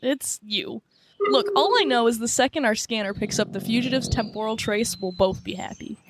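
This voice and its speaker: A woman's voice: on the high side, moderate pace, noisy background, natural voice. A woman playing a wry, vacation-loving time-crimes officer in a radio drama parody. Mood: teasing.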